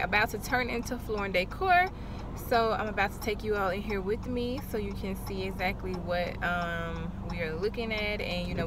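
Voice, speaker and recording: A woman's voice: mid-range voice, background noise. A young American woman talking in an informal, chatty way as she vlogs. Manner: informal, conversational. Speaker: vlogger. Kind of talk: casual chatty vlog.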